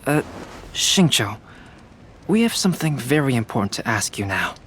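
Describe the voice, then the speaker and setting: A composed young male scholar talks at a medium pitch. He is reserved and analytical, laying out his thoughts formally in a game story scene. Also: big pitch swings, moderate pace, fairly bright tone, a little hiss or hum. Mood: probing, logical. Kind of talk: video game character voice acting.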